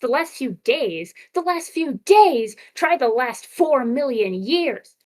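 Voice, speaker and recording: A woman's voice: sits high, big pitch swings, slow, darkish tone, full character voice. A woman voicing brash, cocky robots in an amateur comic dub, with informal, punchy delivery. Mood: angry, accusing.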